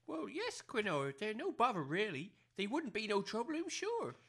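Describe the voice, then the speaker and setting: A woman's voice: mid-range voice, wildly swooping pitch, normal speed, fairly bright tone, breathy edge, full character voice. A woman playing an anxious, pleading working-class village mother in an amateur comedy audio drama, flustered and apologetic. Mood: tearful pleading. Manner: flustered, apologetic, non-standard grammar.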